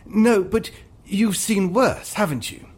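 A man's voice: high-pitched, slow, slightly rough, pitched above the performer's own voice, full character voice. A man voicing an eager, fawning and nervous actor turned adventurer in a story, politely hesitant and given to stammering. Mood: eager, hopeful.

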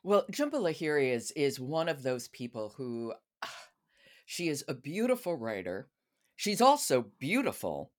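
A woman's voice: extremely low pitch, big pitch swings, unhurried. A woman hosting a podcast, chatting casually about books. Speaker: podcast host. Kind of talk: casual book chat.

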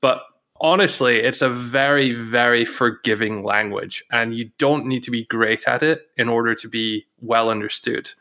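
A man co-hosting a podcast, explaining points in a measured, casual way. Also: medium pitch, fairly even intonation, pushed and bright. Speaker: podcast co-host.

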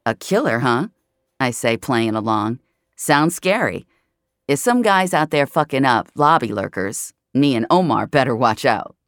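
A jaded, sardonic narrator of a sci-fi mystery podcast. Her voice is very low and moves around expressively in a close, dry recording. She tells it in a wry, conversational first person. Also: big pitch swings, a little slow, no echo, heavily acted. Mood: teasing, wry.

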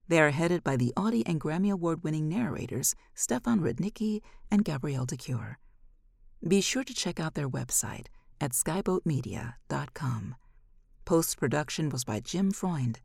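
A woman narrating scripted material as a podcast host, with a measured, expressive delivery. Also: deep voice, gravelly, husky, no room sound, studio quality.

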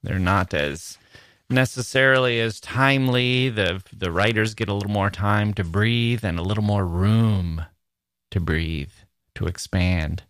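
A man's voice: on the low side, unhurried, fairly bright tone, no room sound. A middle-aged American man hosting a podcast in a casual, chatty, wry monologue on a close microphone. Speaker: podcast host.

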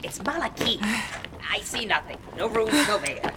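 A woman's voice: medium pitch, very animated, moderate pace, far from the mic, heavy background noise, close to an everyday voice. A woman voicing a haughty, scornful spirit companion in a fantasy audio drama, curt and disdainful in manner.

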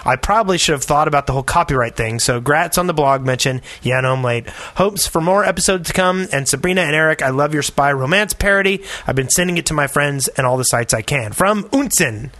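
A man's voice: fairly high pitch, a little fast, few pauses, bright, forceful tone, no room sound. A man chatting casually as a podcast host. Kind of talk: casual chat.

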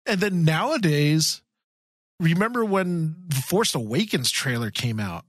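A man's voice: on the high side, expressive, unhurried, no room sound, studio quality. A man co-hosting a podcast, chatting casually and agreeably. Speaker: podcast co-host. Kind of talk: casual chat.

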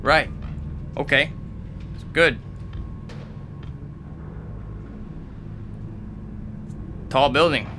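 A man's voice: on the high side, unhurried, noisy background, natural voice. An actor playing an eager, nervous young man in an audio drama, babbling, ingratiating and repeating himself. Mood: eager to please. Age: young adult. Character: eager, nervous young male comedian, half of a couple. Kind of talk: modern audio drama acting.

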